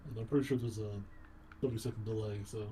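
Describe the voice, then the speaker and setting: A man's voice: fairly even intonation, fast. A man bantering casually over a game livestream on an online voice call, swearing and often repeating his phrases. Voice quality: low baritone voice. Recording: voice chat with clear background noise.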